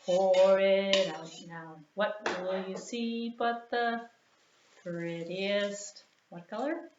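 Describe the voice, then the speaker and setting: A woman's voice: medium pitch, drawn-out words, a little mellow. A woman hosting a children's storytime in an encouraging way, with simple, repeated sing-along phrases and questions for young listeners. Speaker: children's storytime host at a library. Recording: roomy echo, dull sound, background noise.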